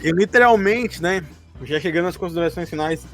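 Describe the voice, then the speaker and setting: A man's voice: sits high, a little fast, faint music behind. A man with a Brazilian accent chatting casually and jokingly as a podcast co-host, often laughing. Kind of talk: casual chat looking back on a role-playing campaign.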